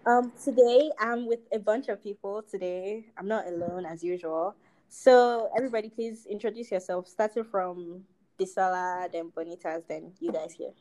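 A woman's voice: medium pitch, normal speed, warm tone, low-quality recording. An eighteen-year-old woman, hosting a casual group podcast and steering it with teasing humor. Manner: playful, teasing, steers the talk. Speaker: podcast host.

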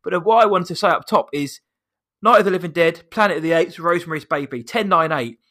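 A man with a high, squeaky voice co-hosts a casual film podcast. His tone is bright and forceful, and he rattles through names and box-office figures in a chatty way, poking fun at himself as he goes. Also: fast talker. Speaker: podcast co-host.

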